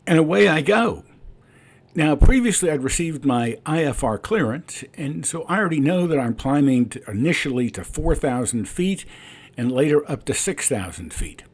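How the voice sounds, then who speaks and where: A man's voice: a little high, sing-song, moderate pace, breathy edge. A man who is a private pilot, explaining his flight and making radio calls. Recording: cockpit and radio noise.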